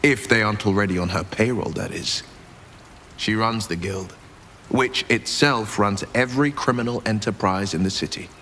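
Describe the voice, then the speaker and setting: A wry male adventurer, talks in a lowish voice with a dry, knowing manner. It is fantasy game dialogue over faint noise. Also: moderate pace, sharp tone.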